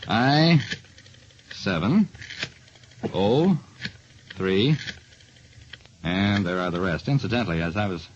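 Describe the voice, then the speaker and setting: A man's voice: lowish voice, expressive, takes it slowly, long pauses, lo-fi sound, a little hiss or hum. A man narrating and hosting in 1940s radio style, on an old radio recording. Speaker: detective show star and quiz host.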